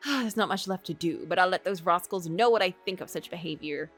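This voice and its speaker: A woman game streamer reading a visual novel aloud in an expressive storytelling way, with casual asides. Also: on the low side, normal speed, no room sound, soft background music.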